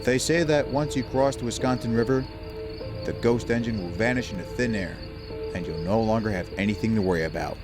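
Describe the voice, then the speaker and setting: A man playing a smug, scheming talking train engine in an audio drama, with an ominous, deliberate delivery. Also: on the low side, lightly acted. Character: scheming, smug male engine, spinning ghost tales. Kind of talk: audio drama character acting. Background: music, cricket and insect night sounds.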